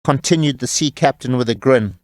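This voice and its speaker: A man's voice: mid-range voice, fairly quick, dry recording, clean studio sound. A man narrating a scripted comic tale as a wry, tongue-in-cheek storyteller.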